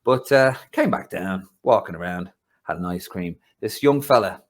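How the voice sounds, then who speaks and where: Lively Irish football podcast host. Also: male voice, low voice, normal speed. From Ireland. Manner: animated storyteller, acts out voices. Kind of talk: casual football chat. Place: home in London.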